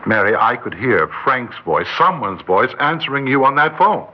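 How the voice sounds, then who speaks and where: Brief: male actor, probing teacher in vintage radio drama, very animated intonation, muffled archival sound. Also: medium pitch, normal speed, lightly acted. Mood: insistent, bewildered. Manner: earnest, insistent, probing. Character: earnest, suspicious male schoolteacher narrating and questioning a pupil. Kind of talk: old-time radio drama acting and narration. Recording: muffled, hissy old-time radio recording.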